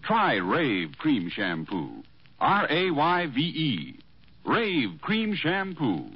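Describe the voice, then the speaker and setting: A man's voice: on the high side, wildly swooping pitch, slow, slightly rough, no room sound. A man announcing a commercial in the style of a 1940s radio ad read. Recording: muffled old radio broadcast.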